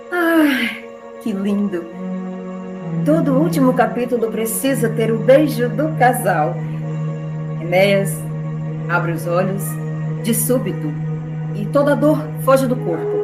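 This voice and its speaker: A sentimental, romantic storyteller played by a woman gives dramatic radio-drama narration. She, and music is loud behind her. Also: mid-range voice, lively intonation, slow pace, long pauses.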